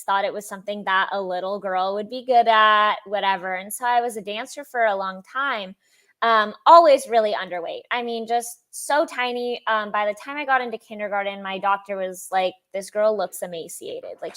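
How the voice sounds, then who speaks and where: A young woman with a high-pitched voice talks through her life story as an interview guest. She is chatty and lively, often laughing and playing out what other people said. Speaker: sponsored athlete guest.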